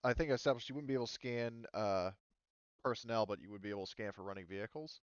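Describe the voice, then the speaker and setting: A man's voice: lowish voice, fast talker, smooth, no room sound, muffled recording. A man acting as game master, casually narrating and explaining rules in a hesitant, rambling way.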